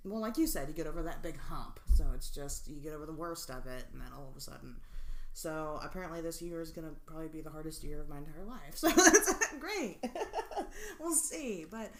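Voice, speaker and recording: A woman's voice: low voice, animated, fairly quick, roomy sound. A woman in her late twenties co-hosting a podcast, chatting casually, rambling with lots of fillers and frequent laughter. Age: 20s. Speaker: podcast co-host. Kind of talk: casual chat.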